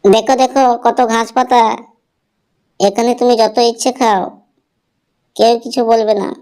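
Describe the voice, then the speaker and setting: Woman voicing a sly, high-pitched greedy fox. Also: level tone, takes it slowly, dark tone. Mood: coaxing. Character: sly greedy fox who lures, then begs. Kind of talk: cartoon animal fable dialogue.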